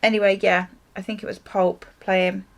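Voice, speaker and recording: A woman with a noticeably creaky, mid-pitched voice talks like a vlogger telling a story while getting ready. She rambles casually and often breaks off to correct or question herself. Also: level tone, normal speed. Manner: rambling, self-interrupting, chuckly.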